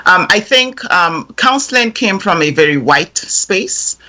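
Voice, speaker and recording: A woman, French her first language, answers a committee in a direct, deliberate way. Her voice is bright and forceful. She speaks with composure and measured emphasis. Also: on the low side, lively intonation. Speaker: invited expert testifying. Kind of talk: formal testimony.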